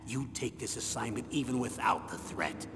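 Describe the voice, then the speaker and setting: An actor playing an aging retired army colonel in a video game, firm but fatherly, earnest and measured in his persuasion. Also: mid-range voice, close to an everyday voice. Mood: persuasive, earnest. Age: older. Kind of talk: dramatic video game voice acting. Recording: hollow reverberant audio with clear background noise.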